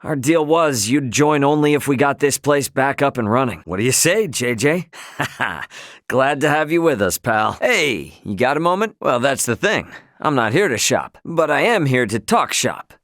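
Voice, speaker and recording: A man's voice: medium pitch, big pitch swings, normal speed, fairly bright tone, dry recording, studio quality. A man voicing an easygoing, friendly young trader and adventurer in a video game, with a casual, folksy delivery.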